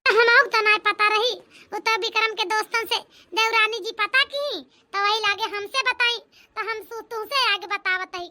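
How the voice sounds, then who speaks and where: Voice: very high-pitched, steady tone, moderate pace, pushed and bright, full character voice. A performer voicing a chatty, reassuring married village woman in an Awadhi cartoon comedy, with an emphatic, childlike delivery. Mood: earnest, gossipy.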